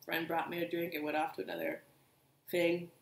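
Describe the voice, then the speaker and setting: A young woman, co-hosting a podcast and telling stories in an animated, casual way. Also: lowish voice, a little fast. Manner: animated storytelling, many asides. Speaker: podcast co-host. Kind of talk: casual chat. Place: living room couch, indoors. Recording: echoey room.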